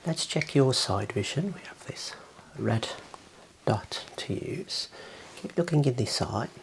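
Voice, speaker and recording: Slow whispering ASMR man. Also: mid-range voice. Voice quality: soft, breathy whisper. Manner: calm, gentle, softly encouraging. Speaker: ASMR host playing an eye examiner. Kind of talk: ASMR roleplay, gentle instructions. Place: small room indoors.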